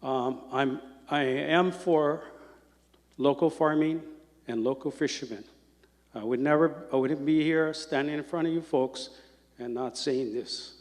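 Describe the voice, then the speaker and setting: A man from Hawaii giving earnest, halting testimony at a public meeting, often repeating words. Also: fairly high pitch, darkish tone. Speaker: public commenter. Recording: public hall with long reverb.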